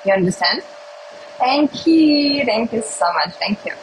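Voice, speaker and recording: Slow-talking young Russian woman. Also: fairly high pitch, expressive, noisy background. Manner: slow, simple English with pauses and hums. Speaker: livestream host. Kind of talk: casual livestream chat while eating. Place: indoors at a table by a window.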